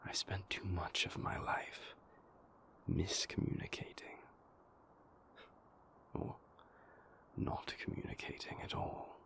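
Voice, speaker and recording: A man playing an earnest, guarded, remorseful vampire boyfriend in an intimate audio roleplay, speaking in a breathy, gravelly, halting and deliberate way. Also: sharp tone, in a whisper. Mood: tender, hopeful. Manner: halting, deliberate, measured phrasing with long pauses.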